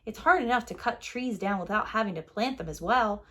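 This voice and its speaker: A woman's voice: medium pitch, lively intonation, brisk, a bit bright. A woman voicing a grumpy, stubborn father bear with a grumbling, blustery manner in a children's storybook. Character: grumpy, stubborn papa bear, dismissive woodsman.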